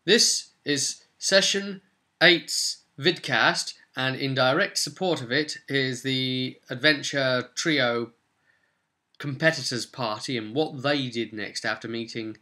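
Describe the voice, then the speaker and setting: Guy hosting an rpg recap, really sharp bright voice, chatty, animated, wanders into asides. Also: mid-range voice, slow. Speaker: game master, vidcast host. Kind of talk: informal recap of a tabletop roleplay session.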